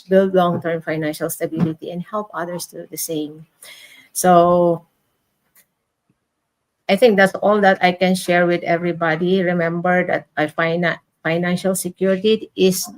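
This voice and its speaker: A woman's voice: on the low side, poor audio. Woman giving earnest finance advice. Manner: repeats "okay?" to check in, restarts phrases. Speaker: mentor and teacher sharing finance tips. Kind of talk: online group talk.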